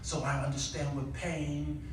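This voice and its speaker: A man preaching an impassioned sermon, exhorting his listeners with pauses and rhetorical questions. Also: fairly high pitch, little pitch movement, noisy background. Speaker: guest preacher. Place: large echoey indoor church with congregation. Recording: echoey church, distant mic, congregation responding.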